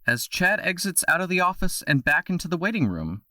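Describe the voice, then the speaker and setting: A man's voice: high voice, very animated, brisk, sharp tone, dry recording, clean studio sound. A man narrating an audio drama from a script in a storytelling role.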